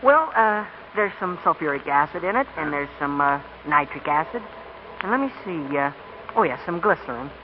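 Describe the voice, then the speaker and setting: Eager, high-voiced boy inventor played by a man. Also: lively intonation, normal speed, natural voice. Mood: excited. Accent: American. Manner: stammering, pleading, earnest. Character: eager, fast-talking boy inventor and train candy vendor. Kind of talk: 1940s radio acting. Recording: dull, noisy old radio broadcast recording.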